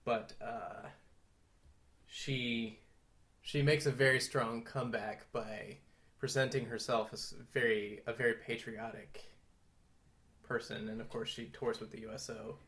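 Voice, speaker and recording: A man co-hosting a podcast, talking through film history conversationally in a measured, explanatory and thoughtful way. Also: medium pitch, fairly even intonation, a bit bright. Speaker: podcast co-host.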